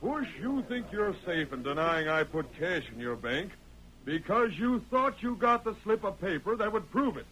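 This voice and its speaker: A man's voice: sits high, sing-song, moderate pace, a bit bright. An actor playing a bold undercover lawman in an old radio western, speaking insistently in folksy Old West speech. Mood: accusing. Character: bold, forceful undercover lawman posing as accused man. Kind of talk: 1940s radio western acting. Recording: noisy old-time radio recording.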